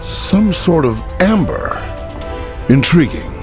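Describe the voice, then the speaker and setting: An actor playing a learned older gentleman hero in a video game, with a measured, courteous, musing manner. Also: on the high side, sing-song. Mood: intrigued, courteous. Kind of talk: video game cutscene acting. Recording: music underneath.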